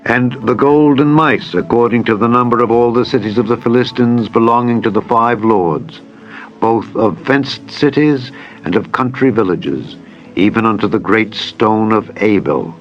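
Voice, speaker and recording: A man narrating scripture in a dramatized style, with a solemn, measured delivery. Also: on the low side, muffled recording. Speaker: Bible narrator. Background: music.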